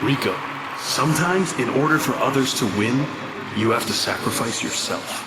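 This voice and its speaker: An actor playing a cool mutant rebel leader in a cartoon, calm, deliberate and smug. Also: male voice, mid-range voice, distant mic, crowd noise, noisy background, close to an everyday voice. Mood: calm, confident.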